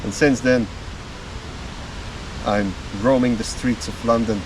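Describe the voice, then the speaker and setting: A man, talking outdoors in the woods as a drumming vlogger, easygoing and unhurried. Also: medium pitch, steady tone, noisy background. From Slovakia. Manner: slow, easygoing storytelling with small pauses. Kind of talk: casual self-introduction to camera. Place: outdoors in woodland. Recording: distant mic with faint music behind.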